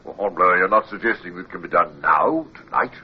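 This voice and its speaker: A crusty, weary British naval captain in a radio drama. He grumbles with authority in a low, raspy voice. The recording sounds dull and dated. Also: fairly quick. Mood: grumbling, doubtful. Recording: muffled old radio recording.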